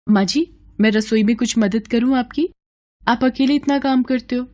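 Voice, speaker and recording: A woman's voice: on the high side, normal speed, mellow, voice pushed up, natural voice. A woman voicing a humble, sorrowful bride in an audio story, politely and earnestly pleading.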